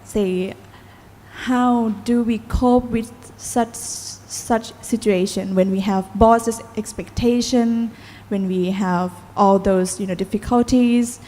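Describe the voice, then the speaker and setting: A woman's voice: a little high, steady tone, slow pace, dark tone. Hesitant woman asking a question, hall echo. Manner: hesitant, searching for words. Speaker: audience member asking a question. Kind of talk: question at a Dharma talk. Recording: reverberant hall with faint noise.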